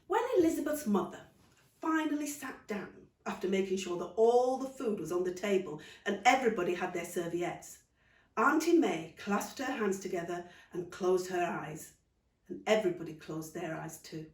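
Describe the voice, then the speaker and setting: A woman reads her own story aloud in an animated storybook style. Her pitch moves widely, and the recording is roomy, with the microphone a little distant. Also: normal speed. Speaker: author reading own story.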